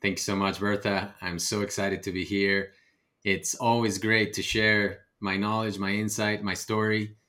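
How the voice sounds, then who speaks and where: Low-voiced man from Ecuador telling his story. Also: flat delivery, measured pace, bright, forceful tone. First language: Spanish. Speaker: podcast guest, real estate investor. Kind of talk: personal storytelling in an interview.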